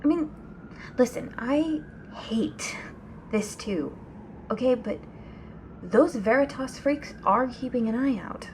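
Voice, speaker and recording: A woman playing a restless, anxious young woman in an ASMR audio drama, speaking softly and hesitantly, often near a whisper. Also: a little high, lively intonation, takes it slowly, long pauses. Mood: alarmed, reluctant. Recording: clear background noise, ambient sound.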